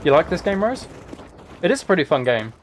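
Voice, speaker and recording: A man's voice: fairly high pitch, sing-song, brisk, slight background noise. A man giving casual, chatty, self-deprecating commentary while he plays a game on a live stream. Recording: game gunfire and clicks behind voice. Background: video game gunfire, clicking.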